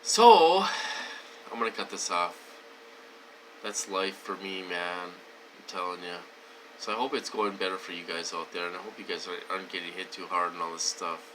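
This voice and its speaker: A man's voice: low-pitched, moderate pace, background noise. A man talking casually to his viewers, wheezy and short of breath, rambling with many fillers and restarts. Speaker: vlogger. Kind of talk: casual talk to camera.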